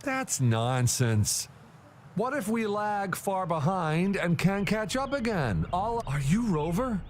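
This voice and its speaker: Young male athlete for a game: high voice, animated, unhurried pace, outspoken, faint ambience behind. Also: a little hiss or hum, heavily acted. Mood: doubtful, curious. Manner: casual, outspoken. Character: excitable young male relay racer. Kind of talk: video game voice acting.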